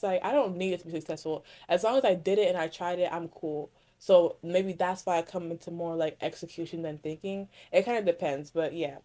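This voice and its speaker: A woman's voice: lowish voice, warm tone. A young woman from Connecticut hosting her own podcast, chatting casually through a solo Q&A and correcting herself as she rambles along. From Connecticut, USA. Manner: rambling, self-correcting, heavy use of "like". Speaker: podcast host.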